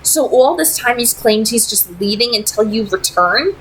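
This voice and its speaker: A woman's voice: on the high side, lively intonation, moderate pace, a little hiss or hum, close to an everyday voice, low-quality recording. A woman voicing a wary, skeptical young male vampire in a read-aloud story, with casual, hesitant phrasing. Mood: uncertain. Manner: casual, hesitant, plain-spoken.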